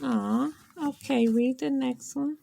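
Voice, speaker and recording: A woman's voice: sits high, slow pace, gravelly, warm tone. A woman chatting casually as she coaches and playfully prompts a child. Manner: encouraging, playful teasing. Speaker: adult helper prompting a child reader.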